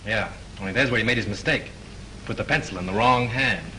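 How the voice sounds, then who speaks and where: A bright-voiced young sleuth. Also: male voice, lowish voice, low-quality recording. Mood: curious. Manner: brisk, leading and instructive. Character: sharp young male screenwriter sleuth. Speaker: amateur sleuth in a 1930s film. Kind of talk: 1930s film acting. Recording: hissy old 1930s film soundtrack.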